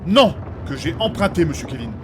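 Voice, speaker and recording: A man's voice: on the high side, sing-song, brisk, noisy background. A man playing a learned, formal and fussy scholar of magic in a comic fantasy audio drama, precise and prone to repeating himself. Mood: fussy, flustered. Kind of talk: French fantasy comedy audio fiction acting.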